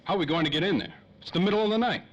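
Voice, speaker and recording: A man's voice: sits high, lively intonation, very fast, lo-fi sound. An actor playing a wary hard-boiled detective in old-time radio style, with clipped, wisecracking tough-guy talk. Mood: doubtful. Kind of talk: 1930s old-time radio drama acting.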